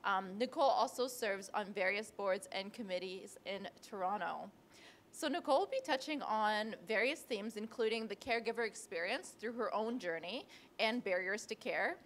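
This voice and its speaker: A woman's voice: mid-range voice, moderate pace, fairly bright tone, slight background noise. A young woman moderating a panel, reading a speaker's introduction with small restarts, on a handheld mic. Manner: reads a list with small restarts. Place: panel stage in a large indoor room.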